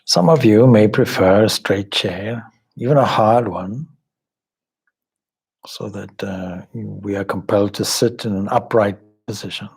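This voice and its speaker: A man leading a guided meditation as a spiritual teacher, speaking in measured, deliberate short phrases with long pauses and formal, contemplative wording. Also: fairly low pitch, gravelly, a little mellow, breathy edge. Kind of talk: guided meditation, slow spoken instruction.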